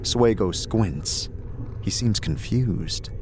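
A man's voice: fairly low pitch, expressive, extremely slow, noisy background. A man narrating a fantasy audio drama from a script in the second person.

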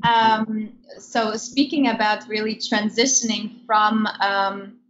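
A woman's voice: mid-range voice, flat delivery, slow pace, fairly bright tone, dull audio. A woman who grew up in Germany, a podcast guest explaining her project with frequent hesitant ums. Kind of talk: interview conversation.

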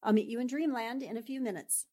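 A woman's voice: on the high side, fast talker, lightly acted. An actress playing an affectionate, spirited newspaperwoman in a 1940s-style radio drama, speaking expressively and with fond familiarity. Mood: fond, casual. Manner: expressive, chatty, uses pet endearments. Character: affectionate, spirited newspaperwoman concerned for her friend.

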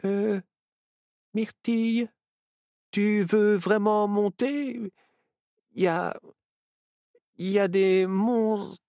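A man's voice: very high voice, very animated, slow pace, no echo, clean studio sound, voice pushed up, exaggerated voice. A man voicing a timid little boy who is scared of monsters and stammers in panic. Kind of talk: storybook reading.

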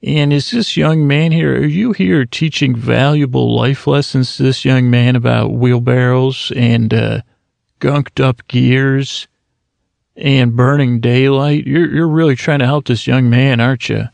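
A man voicing an overly polite city gentleman who asks gushing, ingratiating questions. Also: medium pitch, fairly even intonation, a little slow, vocal fry, no echo. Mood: fawning. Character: overly polite male city gent, prying and fawning.

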